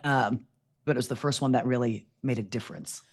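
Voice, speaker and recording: Low-voiced woman telling her story. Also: fairly quick. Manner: candid storytelling, animated quoting. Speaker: podcast guest. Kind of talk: casual interview chat.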